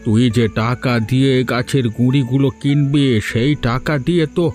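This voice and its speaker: A man's voice: mid-range voice, steady tone, measured pace, a little mellow, faint music behind, natural voice. A man voicing a kindly older village uncle, inquisitive and folksy, in a cartoon. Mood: curious. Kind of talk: Bengali moral-story cartoon dubbing.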